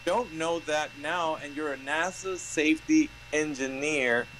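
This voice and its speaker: A man's voice: a little high, measured pace, a bit bright, slight background noise, low-quality recording. A man in his forties telling a story emphatically in a casual chat, repeating his points. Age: 40s.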